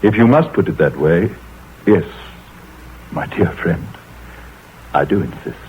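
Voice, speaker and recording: An actor playing an anxious, wealthy middle-aged gentleman who speaks in a tense, hesitant way in an old radio drama. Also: deep voice, expressive, a little slow. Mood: fearful. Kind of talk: 1930s radio drama acting. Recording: scratchy tinny old radio broadcast.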